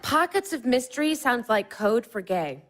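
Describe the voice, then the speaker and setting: An actress playing a confused, hurt adult daughter in a naturalistic audio drama, earnest, questioning and exasperated. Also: female voice, fairly high pitch, big pitch swings, moderate pace, very noisy. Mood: incredulous, challenging.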